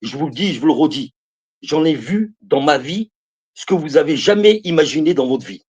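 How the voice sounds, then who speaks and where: A man's voice: a little high, lively intonation, normal speed, a little mellow, slightly rough, lo-fi sound. A man in his fifties giving religious advice on a live stream, emphatic and repetitive, speaking to his listeners as brothers and sisters. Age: 50s. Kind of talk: preaching to viewers on a live stream.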